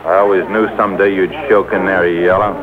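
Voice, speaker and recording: An actor playing a menacing gangster boss, curt, slangy and commanding, in old radio crime drama style. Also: male voice, low voice, level tone, fast, close to an everyday voice. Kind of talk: 1940s-50s radio crime drama acting. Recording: tinny old radio broadcast, crowd behind.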